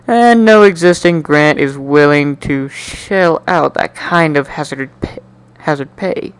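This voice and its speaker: Man, fairly high clear voice, amateur dramatic reader, animated, jokey, stumbles over words. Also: unhurried, no room sound. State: coughing, slightly sick. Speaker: amateur fan fiction reader. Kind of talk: dramatic reading of a first-person story.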